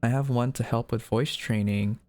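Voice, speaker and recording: A man's voice: medium pitch, steady tone, normal speed, darkish tone, dry recording. A man live streaming in a casual, conversational way, reading viewers' messages aloud and replying to them. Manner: reads chat messages aloud and replies.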